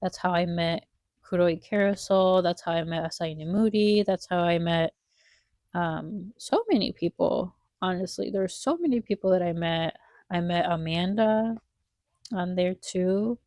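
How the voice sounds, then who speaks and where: Young woman, low mellow voice, stuffed-up nose, rambling wistful vlog chat, dry close sound. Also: normal speed, dry recording. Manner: rambling, wistful, heavy on fillers. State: congested nose. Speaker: vlogger. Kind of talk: casual chat while drawing.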